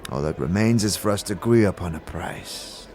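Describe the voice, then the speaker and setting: A man playing a sly, menacing Githyanki warrior in a fantasy audio drama, speaking in deliberate, formal, theatrical phrases. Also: deep voice, animated, darkish tone. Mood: mysterious, bargaining. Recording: music playing behind the voice.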